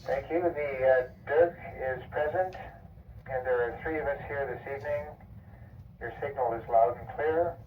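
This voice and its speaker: A man's voice: mid-range voice, moderate pace. A man delivers a formal radio check-in report. His voice is narrow and tinny, as if from a small radio speaker, with faint static. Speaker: emergency radio room operator. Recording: muffled distant radio link, faint static.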